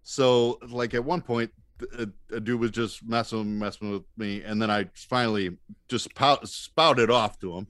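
A man's voice: lowish voice, fairly even intonation, moderate pace, fairly bright tone, no echo. Wry man in a dry, close recording. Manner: wry, self-deprecating, acts out quoted dialogue. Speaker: podcast guest, comedian. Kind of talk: casual comic storytelling chat.

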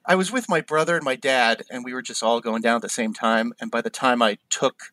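A man with a mid-range voice trades stories in a relaxed talk, laughing easily and getting animated as he goes. The sound is close and dry. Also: mid-range voice, fairly quick, dry recording, poor audio. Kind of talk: casual chat.

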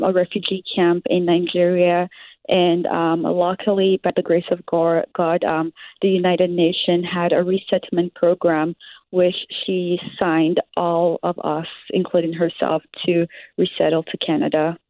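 A woman's voice: on the low side, flat delivery, a little slow, no room sound. A young woman from Liberia with a Liberian English accent, answering interview questions hesitantly with many fillers and laughs. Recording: dry, phone-like sound.

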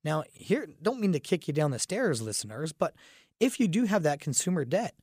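A man with a raspy voice from a cold hosts a casual radio talk show, chatting with fillers and restarts. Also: on the high side, sing-song, moderate pace, darkish tone, dry recording, studio quality. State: fighting a cold, groggy. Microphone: studio microphone.

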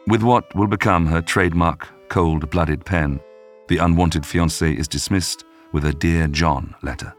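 A man narrating a history podcast from a script in a measured storytelling manner. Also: low voice, fairly even intonation. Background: music.

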